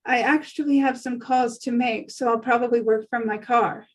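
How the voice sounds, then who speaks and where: A woman playing a polite, reserved, reassuring professional woman at a table read, measured and supportive. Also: fairly high pitch, steady tone, natural voice. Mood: politely evasive.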